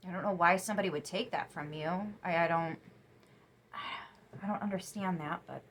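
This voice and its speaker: A young woman giving a casual tarot reading to camera, chatty and emphatic, sniffly after crying, her voice turning soft when she muses. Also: fairly low pitch, moderate pace, noisy background. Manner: chatty, emphatic, drops to soft musing asides. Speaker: tarot reader.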